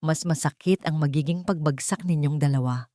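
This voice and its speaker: A woman's voice: low-pitched, normal speed, mellow, no room sound, close to an everyday voice. Spiteful rich villainess acted by a woman narrator, smug, gloating, malicious, dry close sound, audio melodrama. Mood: spiteful glee.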